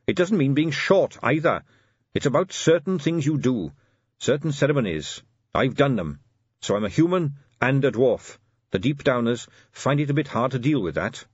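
A man's voice: mid-range voice, lively intonation, normal speed, breathy edge, no room sound, muffled recording, pitched above the performer's own voice. A man voicing an earnest, eager young male captain who speaks politely in a raised voice. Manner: earnest, polite, lifted and bright.

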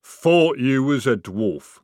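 A man voicing a huge, dim male troll who rumbles his words slowly in a storybook reading. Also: animated, darkish tone, no room sound, clean studio sound. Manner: slow rumbling growl.

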